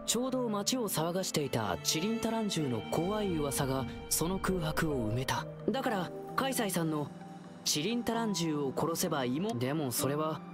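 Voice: mid-range voice, lightly acted. An actor voicing an earnest, friendly, boyish lion-eared young dancer in a Japanese anime game. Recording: game background music under voice.

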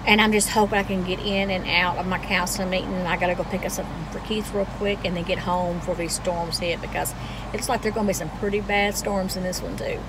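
Lady vlogging on her phone in the car, chatty, clear road noise under her. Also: lowish voice, fairly even intonation, fast. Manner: chatty, addresses viewers as "y'all", gushing. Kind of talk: casual vlog chat. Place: car interior, then at home.

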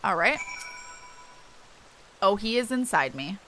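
A woman's voice: medium pitch, sing-song, slow pace, a bit bright, faint music behind, low-quality recording. A woman game streamer giving casual, informal commentary in muttered asides.

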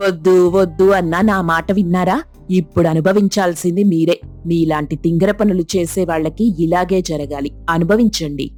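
A woman's voice: on the low side, steady tone, normal speed, darkish tone, faint music behind, close to an everyday voice. Woman playing an exasperated, scolding mother-in-law. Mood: vindictive scolding. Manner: scolding, complaining. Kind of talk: dramatized moral story cartoon dialogue.

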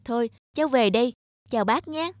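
A woman's voice: a little high, lively intonation, fairly quick, no room sound, clean studio sound, close to an everyday voice. A woman voicing a contrite, polite young male scooter rider in a cartoon, hesitant and deferential. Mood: earnest. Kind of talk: cartoon voice acting.

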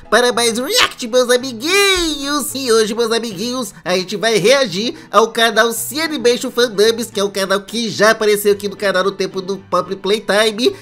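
A man's voice: high-pitched, pushed and bright. Cartoon sponge puppet played by a man: childlike, playful reaction host, Brazilian Portuguese, casual patter over light music. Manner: playful, repeats catchphrases to little friends.

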